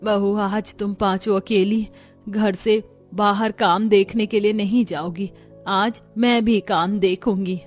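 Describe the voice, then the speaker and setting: A woman's voice: mid-range voice, steady tone, slow, faint music behind. A woman voicing earnest, caring women of a poor village family in a cartoon story. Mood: concerned, determined. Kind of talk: Hindi cartoon story dialogue.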